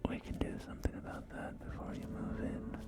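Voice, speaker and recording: A man playing a polite, helpful real estate agent in a post-apocalyptic ASMR roleplay, speaking softly and courteously with small hesitations. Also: lightly acted. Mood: gentle, accommodating. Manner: soft-spoken, hesitant, unhurried, courteous. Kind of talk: ASMR roleplay, whispered sales tour. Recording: close whisper over clear background music. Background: music and game audio.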